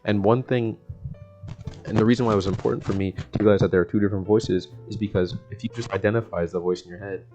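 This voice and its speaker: A man's voice: fairly low pitch, mellow. A young American man giving a casual self-help monologue in a laid-back, slangy, rambling way. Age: young adult. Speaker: vlogger.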